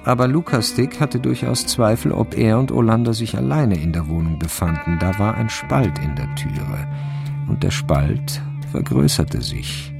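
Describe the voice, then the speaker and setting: Casting: sober male storyteller for crime audio drama, gravelly, unhurried deadpan delivery. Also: lowish voice, music in the background. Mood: dry suspense. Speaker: narrator. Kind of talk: scripted radio drama narration.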